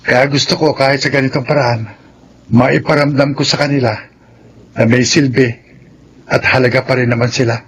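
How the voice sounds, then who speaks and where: An actor playing a gentle, remorseful ex-convict older brother in a Filipino radio drama. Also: male voice, mid-range voice, fairly even intonation, normal speed, long pauses, slightly rough. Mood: earnest.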